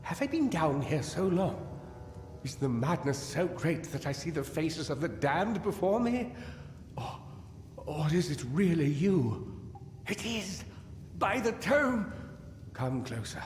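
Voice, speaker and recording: An actor playing a weary, guilt-ridden, paranoid gnome scholar in a fantasy video game, theatrical and anguished, halting as he muses. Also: fairly high pitch, big pitch swings. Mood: astonished, shaken. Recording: echoey cavern-like reverb over music.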